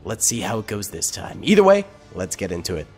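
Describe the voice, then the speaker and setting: A man's voice: lowish voice, wildly swooping pitch, brisk, a little mellow, faint music behind. A young man, sick and with a partly lost voice, giving casual upbeat commentary over a strategy game as the channel host. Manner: upbeat, chatty, talks to viewers.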